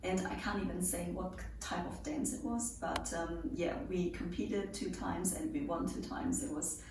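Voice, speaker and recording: A chatty young woman in her late twenties answers viewer questions in an upbeat, joking way. Her voice sits slightly low, and she is picked up from a distance in a strongly reverberant room. Faint hiss runs underneath. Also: steady tone, moderate pace. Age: 20s. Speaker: pole dance instructor. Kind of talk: casual Q&A vlog.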